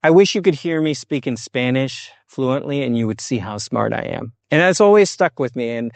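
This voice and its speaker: A man's voice: medium pitch, lively intonation, normal speed, vocal fry, no room sound. A middle-aged American man hosting a podcast interview, chatty and friendly, laughing often. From United States. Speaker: podcast host. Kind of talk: casual interview.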